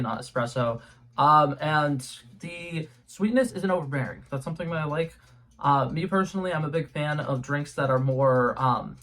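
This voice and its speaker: A man's voice: mid-range voice, fairly bright tone. A young man from New England hosting a casual taste-test vlog at home, chatty and rambling with lots of ums and restarts. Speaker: YouTube host. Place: home room with a color-changing globe light.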